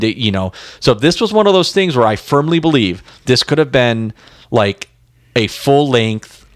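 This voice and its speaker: A man's voice: lowish voice, no room sound, studio quality. A man co-hosting a music podcast, talking informally and emphatically, repeating words to make his point. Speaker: podcast co-host. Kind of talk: casual music discussion.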